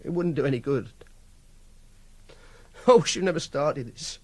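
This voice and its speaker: Awkward, obsessive Englishman, husky and higher-pitched. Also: lively intonation, a little mellow, no room sound. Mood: halting, ashamed. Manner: halting, awkward, defensive. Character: socially awkward, obsessive, repressed young male captor. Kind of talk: radio drama dialogue and confessional narration.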